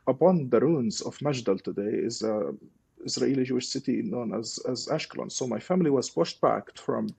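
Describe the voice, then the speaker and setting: Husky man from Gaza, gravelly edge. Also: mid-range voice, lively intonation, moderate pace, darkish tone. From Gaza, Palestine. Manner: explanatory, poses rhetorical questions to himself. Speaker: guest, peace initiative leader. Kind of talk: personal testimony in a podcast interview.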